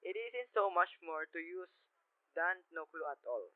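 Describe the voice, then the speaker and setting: A man's voice: high-pitched, lively intonation, measured pace, dry recording. A teenage boy acting in a radio play as a dismissive police desk sergeant who placates and talks down to his caller. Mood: placating. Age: teens, ninth-grade student. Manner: placating, condescending, brushing off worries. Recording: muffled, lo-fi amateur radio play sound.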